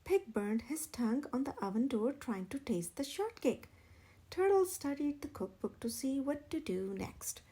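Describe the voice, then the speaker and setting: A woman narrating a children's storybook in an expressive, measured way. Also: sits high, slightly rough. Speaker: children's read-aloud channel narrator.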